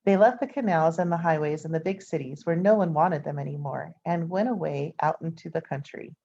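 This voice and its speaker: A woman reading a children's picture book aloud as an expressive storyteller, adding informal asides for her listeners. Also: low voice, brisk, breathy edge. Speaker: storybook reader. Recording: dull, lo-fi sound.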